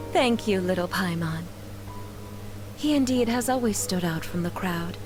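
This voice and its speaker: Woman doing gracious worried mom from a game, soft manners, formal and measured, clear music in the back. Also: mid-range voice, lightly acted. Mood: wistful, troubled. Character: gracious, gentle mother troubled by her son. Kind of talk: video game character dialogue.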